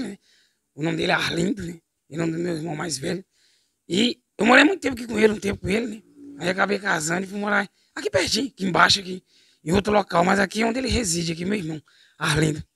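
A young man from Bahia with a Bahian accent, hoarse from a cold, chatting exuberantly outdoors into an interviewer's handheld mic. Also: sits high, racing, sharp tone, dry recording, studio quality. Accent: Bahian Brazilian Portuguese. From Bahia, Brazil. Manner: exuberant, chatty, adds "né?" often. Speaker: impressionist. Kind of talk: street interview, in character. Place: outdoors by a house wall, street. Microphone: handheld mic held by interviewer.

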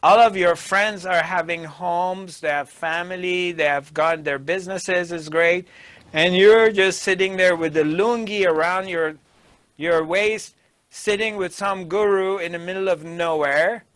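A middle-aged man giving a spiritual discourse as a teacher, emphatic and deliberate, with pauses. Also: high voice, steady tone, fairly bright tone, dry recording. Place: small dark indoor studio. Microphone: lapel mic.

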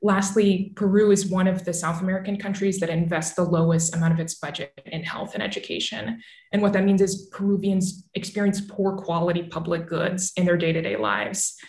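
A woman in her thirties explaining politics as an expert guest on a video call, articulate and measured. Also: fairly low pitch, fairly even intonation, vocal fry, darkish tone. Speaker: newsletter author and analyst guest. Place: small home room with bookshelf, indoors. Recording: roomy, echoing video call audio.

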